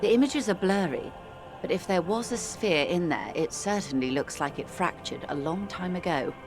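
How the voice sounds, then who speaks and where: Low-voiced, worldly, composed woman. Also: animated. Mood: wry, measured. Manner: measured, eloquent, calls the listener dear and darling. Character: worldly, composed older woman explaining cosmic dread, gently condescending. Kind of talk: dramatic video game dialogue. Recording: music playing under the voice.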